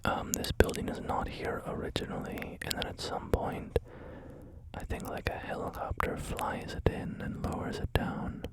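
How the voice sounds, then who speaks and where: A man whispering through a relaxed ASMR gaming video, rambling and joking about himself with many fillers. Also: moderate pace. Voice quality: soft unvoiced whisper. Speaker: ASMR creator.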